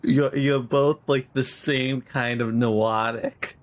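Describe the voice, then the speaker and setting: A man's voice: mid-range voice, fairly even intonation, takes it slowly. A man playing a tabletop game, joking and laughing as he rambles through his lines. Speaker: player. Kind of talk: casual tabletop role-play.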